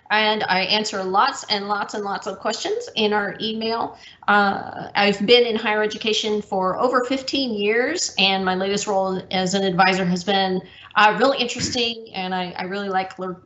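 A woman's voice: medium pitch, fairly bright tone. A woman graduate advisor introducing herself on a video call, slightly nervous. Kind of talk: panel self-introduction. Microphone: video call, earbuds. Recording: echoey lo-fi video-call audio.

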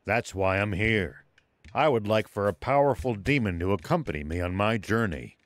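An actor playing a stern, principled old sheriff in a video game cutscene, speaking formally and with resolve. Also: male voice, lowish voice, lively intonation, normal speed, no room sound, close to an everyday voice. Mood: earnest, explaining.

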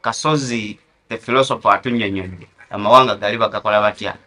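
A man's voice: lowish voice, fairly even intonation, fast, pushed and bright. A man with a Ugandan accent hosting a talk show and summarizing the discussion. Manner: brief affirming hums, summarizing segments.

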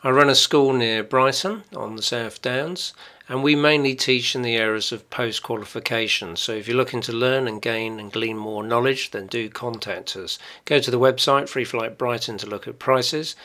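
A man's voice: mid-range voice, moderate pace, pushed and bright, dry recording. A man teaching paragliding, commentating from a hilltop and in the air while coaching a student. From England. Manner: coaching over radio, calling out instructions. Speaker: paragliding instructor. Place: outdoors on a hilltop and in flight.

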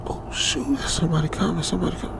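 A man playing a jittery stranded young trucker in a radio drama, muttering to himself in halting, slangy speech. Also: high voice, animated, gravelly, background noise. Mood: urgent, excited. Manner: halting, muttering, colloquial slangy self-talk. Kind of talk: ASMR old-school radio drama monologue.

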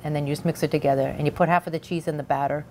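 Low-voiced older woman hosting a cooking show. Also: steady tone, racing, warm tone. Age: 60s. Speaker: cooking show host and home cook. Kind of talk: casual recipe explanation. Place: large indoor demonstration kitchen. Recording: faint background noise.

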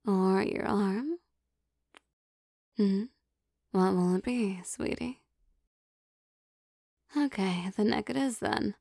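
A woman playing an affectionate, possessive vampire girlfriend in an intimate ASMR roleplay, speaking in a soft, teasing, coaxing manner. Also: medium pitch, slow, vocal fry, pauses often, no echo, clean studio sound. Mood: coaxing, tender. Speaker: voice actor.

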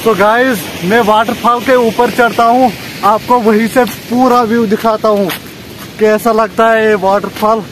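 A young man talks casually to his vlog audience. He is chatty and often repeats phrases for emphasis. The phone recording, made at arm's length outdoors, has clear background noise. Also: high-pitched, fairly even intonation, measured pace. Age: 20s. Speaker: vlogger. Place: outdoors on village roads, paths and by water. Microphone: handheld phone at arm's length.

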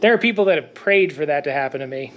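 A man in his thirties talks his way through a truck repair in a cluttered wooden garage, mumbling to himself and cracking dry jokes at his own expense. His voice is worn and a little hoarse from days of talking, recorded on a head-mounted camera. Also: on the high side, expressive, fast, fairly bright tone, muffled recording, slight background noise. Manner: wry, self-mocking, muttering to himself while working. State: losing his voice. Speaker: vlogger working on his truck. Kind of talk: casual workshop vlog.

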